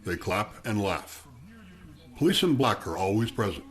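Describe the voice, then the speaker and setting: A man narrating the scenes of a radio play in a measured, descriptive way. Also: lowish voice, lively intonation, a bit bright.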